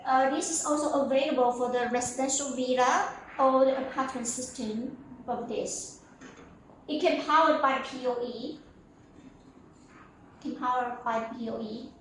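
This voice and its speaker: A woman giving a spoken product walkthrough as a sales representative, in simple, halting phrases with repetitions. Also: high-pitched, steady tone. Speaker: company sales representative giving a showroom tour. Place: indoor product showroom. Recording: echoey room, distant mic, faint noise.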